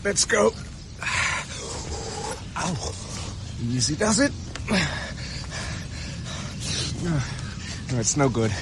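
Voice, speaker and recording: An actor playing a wounded, dogged young British fugitive on the run, muttering urgently to himself with effort in a radio drama. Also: male voice, on the high side, big pitch swings, slow pace, exaggerated voice. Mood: desperate, straining. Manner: groaning, effortful, urgent self-talk. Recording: noisy outdoor sound effects behind voice.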